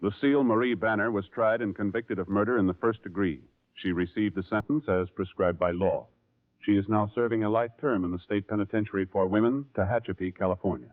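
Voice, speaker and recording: A man's voice: lowish voice, fairly even intonation, a little fast. Formal male radio announcer. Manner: crisp, formal, authoritative. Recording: narrow phone-like 1950s radio transcription with music.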